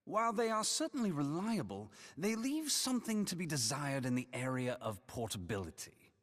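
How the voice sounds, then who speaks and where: An actor playing a skeptical, erudite scholar in a fantasy game, with a dry, formal way of talking. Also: male voice, on the high side, sing-song, normal speed, a bit bright. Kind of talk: scripted fantasy game dialogue. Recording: reverberant hall sound.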